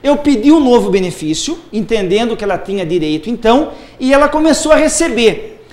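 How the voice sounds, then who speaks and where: A man's voice: very high voice, sing-song, a little fast, darkish tone. A man working as a social security lawyer, methodically explaining pension rules step by step on a live TV program. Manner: methodical, lays out cases step by step.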